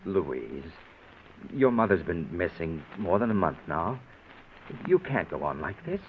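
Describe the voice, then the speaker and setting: An old, muffled and slightly hissy radio drama recording of a low-voiced guilty husband. He stammers through evasive, overly polite excuses, his pitch swinging about nervously. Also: sing-song, measured pace, full character voice. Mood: falsely tender. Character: nervous guilty husband hiding a crime, falsely solicitous. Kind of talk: 1940s radio drama acting.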